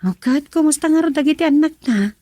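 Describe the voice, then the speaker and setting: An actress playing a gentle married domestic helper in a radio drama, with a Filipino accent. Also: female voice, high voice, expressive, brisk, no echo. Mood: caring. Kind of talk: Ilocano radio drama acting.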